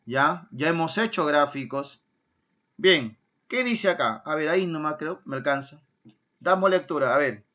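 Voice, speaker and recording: A male math teacher gives a lively class. He keeps checking in with his students. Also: a little high, measured pace, highs cut off. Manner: lively, asks "¿ya?" and "¿correcto?" often, answers his own imagined student questions. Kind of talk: online math lesson.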